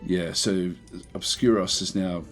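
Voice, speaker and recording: A man with a croaky voice casually talking through a strategy game, thinking aloud with many fillers and restarts. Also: low voice, fairly even intonation, a little slow, soft background music. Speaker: gameplay commentator.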